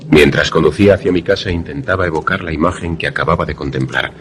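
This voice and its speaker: An actor playing a haunted, lovelorn former student who narrates a suspense radio drama in a solemn, measured, dramatic way. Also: male voice, very deep voice. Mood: wistful, haunted.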